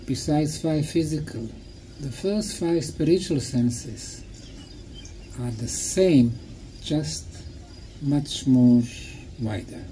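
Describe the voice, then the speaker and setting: A man giving a public talk in short, deliberate, emphatic phrases, pausing often for an interpreter. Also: fairly high pitch, rough recording. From Bosnia. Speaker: lecturer. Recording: clear steady background noise.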